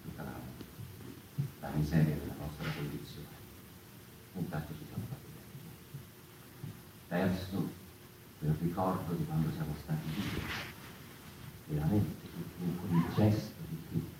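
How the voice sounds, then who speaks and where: An actor in his fifties playing a reflective storyteller, musing and halting in a theatrical monologue. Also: very deep voice, fairly even intonation. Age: 50s. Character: reflective middle-aged male storyteller addressing his people. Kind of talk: theatrical monologue, live stage performance. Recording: very echoey, distant stage recording, faint noise.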